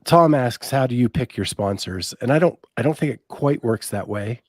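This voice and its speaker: A man's voice: medium pitch, lively intonation, normal speed, darkish tone, no room sound. Easygoing male podcast host, dry sound. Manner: easygoing, asks questions, reads listener comments. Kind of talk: casual podcast interview.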